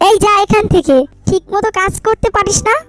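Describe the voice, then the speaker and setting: Woman voicing a very shrill, bossy cartoon wife. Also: steady tone, fairly quick. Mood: angry, scolding. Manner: bossy, sharp-tongued, scolding. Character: cruel, scolding jackal housewife and mother. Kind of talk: Bengali cartoon voice acting. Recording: music behind the voice.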